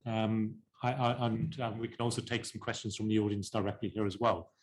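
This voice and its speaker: A man chairing a panel discussion, polite and halting, often repeating words as he sums up. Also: on the low side, flat delivery.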